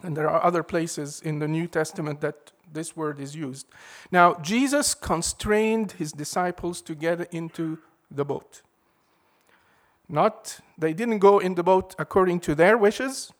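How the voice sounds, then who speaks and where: A man preaching a sermon in a measured, emphatic way, leaning hard on key words. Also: on the high side.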